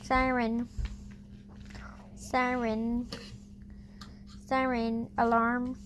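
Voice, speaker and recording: A child playing announcer of a pretend emergency alert, reading in a halting, stumbling way. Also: medium pitch, monotone, fairly bright tone. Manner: halting, stumbling read-out delivery. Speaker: amateur video maker. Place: at home with family talking nearby. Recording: noisy home recording with household chatter.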